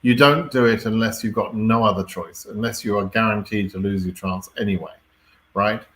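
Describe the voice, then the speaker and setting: A man's voice: lowish voice, fairly even intonation, moderate pace, a bit bright. A man with a British accent hosting an informal live question-and-answer stream, speaking in a blunt, wry, mildly exasperated way with the odd swear word. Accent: British English. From Britain.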